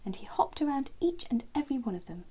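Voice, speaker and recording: A woman narrating a children's bedtime story as storyteller, with dramatic, deliberate phrasing. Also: sits high, big pitch swings, warm tone, breathy edge, low-quality recording.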